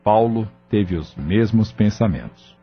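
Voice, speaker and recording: A man's voice: fairly low pitch, animated, slow, muffled recording. A Brazilian man reading scripted narration for an audio drama. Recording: band-limited recording with music bed. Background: music.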